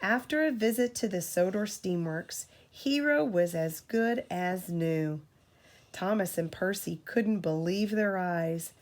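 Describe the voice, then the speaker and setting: A woman reading a storybook aloud to a child as a parent, in an expressive, deliberate storytelling manner. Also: medium pitch.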